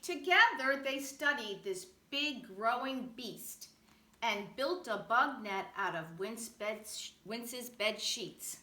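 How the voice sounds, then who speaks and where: A woman reading a children's picture book aloud in an expressive, rhythmic way. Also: on the high side, slow. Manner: animated, rhythmic rhyming delivery. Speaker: storybook reader. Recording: roomy echo, faint background noise.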